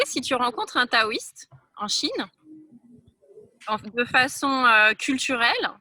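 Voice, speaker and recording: A woman's voice: fairly high pitch, bright, forceful tone, no echo. A woman from France, a Taoist teacher, talking frankly and emphatically as an interview guest. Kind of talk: reflective interview talk.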